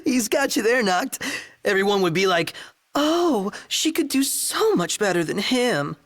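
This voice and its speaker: An actor playing a chirpy, teasing young sidekick in a video game, casual and playful. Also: male voice, sits high, very animated, unhurried, a bit bright, dry recording, studio quality, full character voice. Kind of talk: video game cutscene acting.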